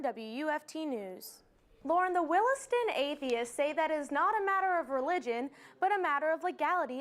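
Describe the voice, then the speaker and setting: A young woman delivering scripted television news reports in a formal broadcast manner. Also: high-pitched.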